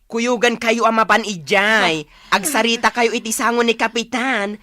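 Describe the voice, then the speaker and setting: Bright-voiced, righteous village woman. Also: on the high side, normal speed, no echo, studio quality. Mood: exasperated, scolding. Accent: Ilocano. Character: scolding, righteous village woman breaking up fights. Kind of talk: Ilocano comedy radio drama acting.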